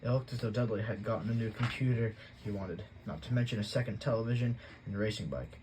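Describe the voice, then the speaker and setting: A man reading a novel aloud as an audiobook, in plain, steady storytelling. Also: fairly low pitch, quick pace, slight background noise, poor audio. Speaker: audiobook reader.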